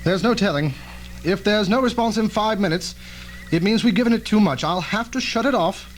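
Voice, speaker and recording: A man's voice: sits high, moderate pace, natural voice. A man playing an earnest, cautious scientist in an old-fashioned radio drama, speaking in a formal, precise way. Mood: grave. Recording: noisy old tape with hum.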